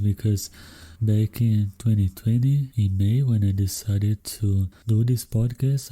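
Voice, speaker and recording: A man's voice: on the low side, dark tone. A young man from Brazil with a Brazilian Portuguese accent, hosting a solo podcast and speaking encouragingly straight to English learners. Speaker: podcast host.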